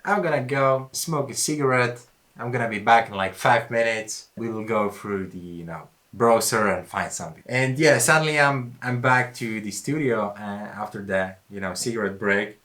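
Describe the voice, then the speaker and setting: Low-voiced man, casual music producer. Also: moderate pace, a bit bright. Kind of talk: casual track breakdown tutorial. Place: music studio. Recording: music playing behind the voice.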